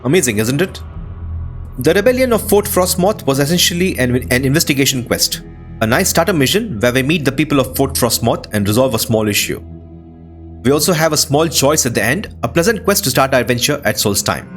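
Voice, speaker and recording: A man's voice: mid-range voice, expressive, brisk, faint music behind. A man hosting a video game playthrough, commenting casually on what happens.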